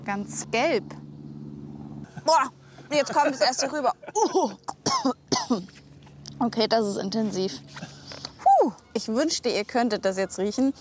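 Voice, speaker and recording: A woman reporting for a children's wildlife show, commenting spontaneously with an excitable, curious and expressive manner. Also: on the high side, takes it slowly, pauses often. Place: on location, outdoors. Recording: faint outdoor ambient noise.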